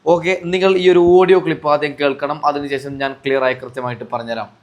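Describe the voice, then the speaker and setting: A man's voice: mid-range voice, fairly even intonation, quick pace, highs cut off. A man giving indignant, accusatory commentary to viewers, repeating himself and throwing out rhetorical questions. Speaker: vlogger commenting on social media disputes.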